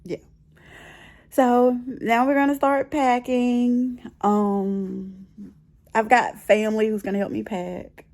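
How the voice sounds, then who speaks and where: Higher-voiced American woman, expressive storyteller. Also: slow pace. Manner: animated, expressive, repeats phrases for emphasis. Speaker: personal vlogger. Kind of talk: casual storytelling vlog to camera. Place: bedroom at home.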